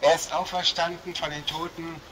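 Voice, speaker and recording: A man's voice: on the high side, level tone, moderate pace. A man from Berlin with a light Berlin accent, preaching a fervent open-air sermon on a shopping street and often saying "ja" and "Halleluja". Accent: light Berlin German. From Berlin, Germany. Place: outdoor shopping street under a small roof, rain. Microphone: small loudspeaker setup. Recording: noisy outdoor street ambience.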